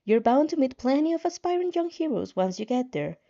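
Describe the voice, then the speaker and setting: A woman voicing a calm, controlling game-like system guide in a fan fiction reading, patient and reassuring with a menacing edge. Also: high voice, fairly quick, dull audio. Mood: patient, persuasive.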